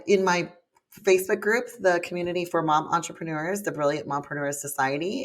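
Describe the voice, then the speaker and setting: An upbeat woman from Hawaii talks as a guest in a casual interview. Her voice sits low for a woman. She laughs often and keeps a chatty tone. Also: a bit bright. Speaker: guest, business coach.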